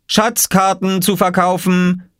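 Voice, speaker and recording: A cheeky little boy acted out by a man, pitched well up and very lively. He is eager and stubborn, sounding proud of his big schemes, in the manner of a children's storybook, recorded dry and close. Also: moderate pace, no echo. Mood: insistent. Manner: eager, triumphant.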